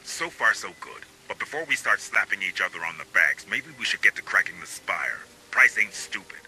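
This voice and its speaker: Sci-fi game operative: a laconic, sardonic military man, very sharp forceful tone, mid-pitched, slight background noise. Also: expressive, brisk, rough recording. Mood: dry, calm. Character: wry, battle-ready male military operative advising marines. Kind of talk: video game military dialogue.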